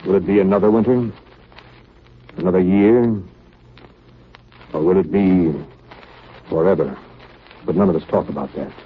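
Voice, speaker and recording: A man's voice: low-pitched, moderate pace, pauses often, close to an everyday voice. Naval captain male actor, authoritative and formal, vintage radio drama, narrow tinny band, faint hiss. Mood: grave, weary. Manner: formal, commanding. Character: stern, authoritative navy captain of an icebound ship. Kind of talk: 1930s radio drama acting. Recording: noisy, tinny 1930s radio broadcast.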